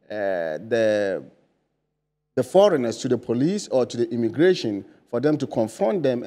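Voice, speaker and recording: A man's voice: a little high, gravelly, warm tone. Ghanaian man answering in a TV studio. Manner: earnest, many fillers and restarts. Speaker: political candidate guest. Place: bright TV studio. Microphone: lapel mic.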